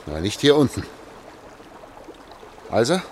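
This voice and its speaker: A man acting in a German radio drama as a desperate, agitated fleeing husband and father, speaking haltingly and repeating himself. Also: medium pitch, very animated, darkish tone, slight background noise. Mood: grimly insistent. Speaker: radio play actor.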